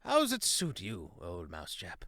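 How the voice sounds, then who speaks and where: A man's voice: low-pitched, huge pitch swings, a little slow, pushed and bright, no room sound, studio quality, pitched below the performer's own voice, full character voice. A man voicing a jolly, plummy hare who blusters and says "what, what?". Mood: hearty. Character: jolly hare, plummy upper-class old soldier type.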